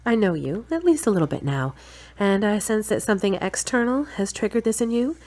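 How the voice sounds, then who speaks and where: A woman playing a level-headed, gently teasing girlfriend in an audio drama. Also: mid-range voice, expressive, brisk, close to an everyday voice. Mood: calm, probing.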